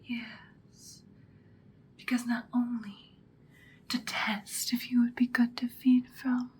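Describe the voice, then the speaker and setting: A woman playing a seductive vampire queen in an ASMR roleplay, whispering breathily in a soothing, predatory, deliberate way. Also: a little high, level tone, a little mellow, slight background noise. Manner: slow, deliberate, hypnotic soothing.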